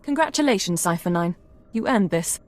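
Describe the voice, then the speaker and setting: An actress playing a professional intelligence analyst in a video game, earnest and reserved, with a measured, formal delivery. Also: female voice, medium pitch, animated, soft background music, natural voice. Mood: composed, approving.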